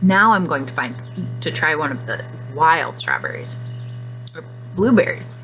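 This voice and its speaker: A woman teacher speaking to young children in simple, expressive phrases during a guided reading lesson. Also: sits low, a little slow, soft background music, poor audio. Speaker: classroom nutrition teacher.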